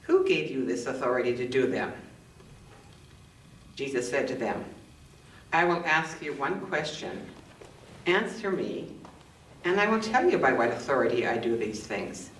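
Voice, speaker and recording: A woman reading scripture and scripted commentary in church, with measured, deliberate phrasing. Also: extremely low pitch. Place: indoors in a church, roomy acoustic. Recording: roomy, distant microphone, faint noise.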